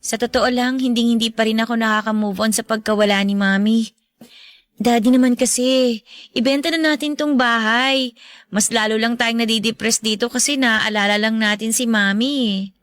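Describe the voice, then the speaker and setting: High-voiced Filipino daughter played by an actress. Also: female voice, steady tone, moderate pace, fairly bright tone, no room sound, lightly acted. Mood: sad pleading. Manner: polite, pleading. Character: grieving, dutiful college-age daughter. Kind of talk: Filipino radio drama acting.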